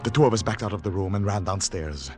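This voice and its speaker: An actor playing an earnest foreign agent on the run, speaking gravely and dramatically in 1940s radio style. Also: male voice, low-pitched, animated, fast talker, over music. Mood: grave, tense.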